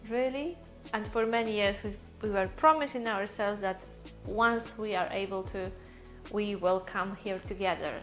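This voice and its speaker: A woman chats through a casual live stream, greeting her viewers one by one and laughing easily. Her voice sits a little high, with faint music in the background. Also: fairly bright tone. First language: Polish. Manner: chatty, greets viewers by name, laughs often. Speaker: vlogger hosting a live stream. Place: holiday rental apartment.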